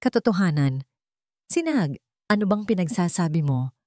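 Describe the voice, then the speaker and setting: A woman's voice: fairly low pitch, big pitch swings, normal speed, darkish tone, dry recording, clean studio sound. Narrator playing nervous, evasive rich woman. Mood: nervous, defensive. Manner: defensive. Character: nervous, evasive wealthy older sister.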